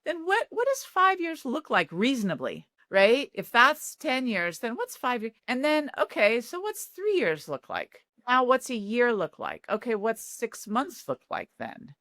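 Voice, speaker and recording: A woman psychologist, a guest in an interview, explaining in an animated way and often adding "right?". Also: fairly high pitch, measured pace, few pauses, no room sound, studio quality. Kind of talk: interview conversation.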